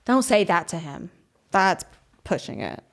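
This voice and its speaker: A woman's voice: lowish voice, expressive, a little slow. A woman co-hosting a podcast in casual chat, blunt and teasing, swearing freely. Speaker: podcast co-host.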